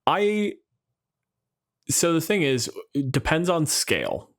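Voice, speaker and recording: A man's voice: on the high side, huge pitch swings, slow. Casual, sweary man co-hosting a podcast. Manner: casual, profane, wry. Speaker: podcast co-host. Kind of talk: casual chat about tabletop game monsters.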